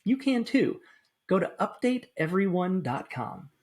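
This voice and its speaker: A woman's voice: mid-range voice, very animated, unhurried, a little mellow. A woman reading a scripted first-person sponsor message for a podcast.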